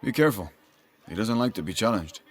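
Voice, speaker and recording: An actor playing a bitter, downtrodden village labourer in a video game, gloomy and sardonic. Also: male voice, fairly low pitch, expressive, quick pace, close to an everyday voice. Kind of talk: video game cutscene acting.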